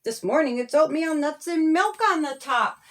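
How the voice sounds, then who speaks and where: A woman reading her own rhyming children's story aloud in a deliberate, singsong storybook manner. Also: sits high, animated.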